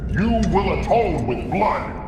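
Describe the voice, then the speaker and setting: An actor playing the cold, commanding voice of a secret court in a game cutscene. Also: male voice, high-pitched, big pitch swings, takes it slowly, heavily acted. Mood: menacing. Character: menacing male voice of a secret court. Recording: echoey hall with background noise.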